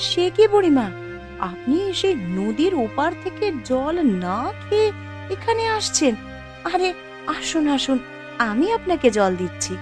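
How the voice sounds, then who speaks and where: Gentle, high-voiced kind village woman, acted by a woman. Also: big pitch swings, a little slow, music in the background. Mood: concerned, welcoming. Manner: gentle, respectful, caring. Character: kind, humble younger sister living in a hut. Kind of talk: Bengali moral-story cartoon acting.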